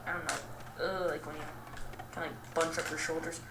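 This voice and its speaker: A young woman giving casual, rambling game commentary in a self-deprecating, goofy and halting way. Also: deep voice, fairly even intonation. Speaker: gaming video host. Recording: roomy, distant mic with clear noise.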